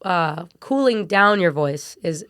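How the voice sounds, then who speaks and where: A young woman with a raspy voice, a singer and vocal coach, chatting in an interview with lots of fillers. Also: on the low side, animated, takes it slowly, a bit bright, no echo, clean studio sound. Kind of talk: casual interview chat.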